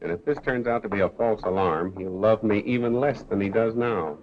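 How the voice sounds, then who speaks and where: A man's voice: lowish voice, brisk, lightly acted. A man playing a suave, flippant amateur sleuth and newspaper columnist, bantering wryly in 1930s film style. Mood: breezy, assured. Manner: wry, bantering. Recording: dull, noisy 1930s film soundtrack.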